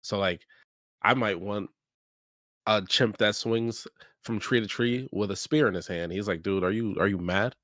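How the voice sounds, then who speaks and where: A man's voice: fairly low pitch, moderate pace, fairly bright tone, no room sound. A man who owns a game studio, speaking casually and candidly, openly admitting his mistakes. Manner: candid, self-deprecating. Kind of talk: casual chat.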